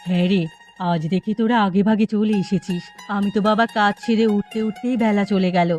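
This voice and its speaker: A woman's voice: medium pitch, steady tone, brisk, a little mellow, soft background music, close to an everyday voice. A woman voicing a busy village auntie, homely and grumbling, in a cartoon story.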